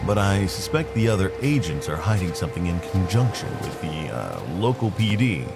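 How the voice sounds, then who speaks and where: An eccentric, earnest federal agent in an audio drama, acted by a man. His medium-pitched voice swings widely in intonation. Loud music plays behind him. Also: sing-song, moderate pace. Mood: hushed confiding.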